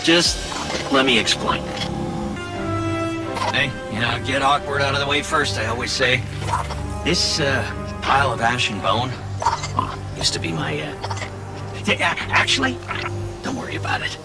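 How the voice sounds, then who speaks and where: An actor playing a nervous, eager-to-please defector robot in a game cutscene, chatty, evasive and stammering. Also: male voice, medium pitch, animated, unhurried, gravelly, pauses often. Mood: jittery, placating. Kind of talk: video game cutscene acting. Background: loud background music.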